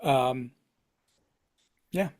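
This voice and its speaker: A man's voice: medium pitch, extremely slow, a little mellow. A man giving a casual self-introduction as an actor in a hesitant, wry way. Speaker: actor at a table read.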